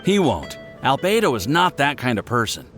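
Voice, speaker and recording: A man voicing an earnest, self-doubting young alchemist in a video game, expressive and a bit fretful. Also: medium pitch, moderate pace. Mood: bemused. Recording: music behind.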